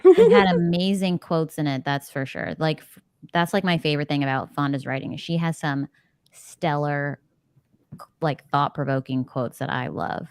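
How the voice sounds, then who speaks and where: A woman with a fairly low voice hosts a casual book club discussion. She is expressive and exclamatory, and the sound is dry, with little room around it. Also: moderate pace, smooth, no room sound. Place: indoor room, video call.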